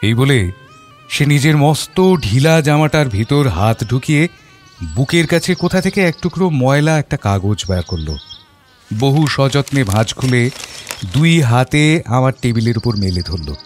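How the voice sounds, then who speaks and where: An actor playing a reflective father who narrates his memories in a measured, literary and expressive audio story style. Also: medium pitch, natural voice. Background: background music.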